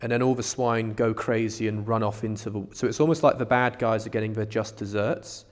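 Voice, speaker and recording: A man's voice: lowish voice, fairly even intonation, fairly quick. A man co-hosting a podcast, musing aloud in a casual discussion about religion, recorded with long reverb. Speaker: podcast co-host.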